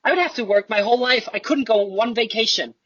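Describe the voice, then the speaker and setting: A man improvising a role-play as an exasperated, pushy shop customer service worker, with halting, repetitive sales patter. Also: extremely high pitch, a bit bright, dry recording, pitched above the performer's own voice. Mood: aggrieved. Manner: halting sales patter, repeats himself, turns self-pitying. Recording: dull lo-fi, distant mic.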